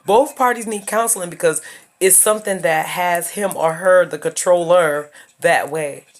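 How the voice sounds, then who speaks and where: A woman in her thirties with a lowish voice delivers a casual vlog monologue. She lectures her viewers emphatically and hammers her points home through repetition. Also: moderate pace. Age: 30s. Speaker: YouTuber giving life advice.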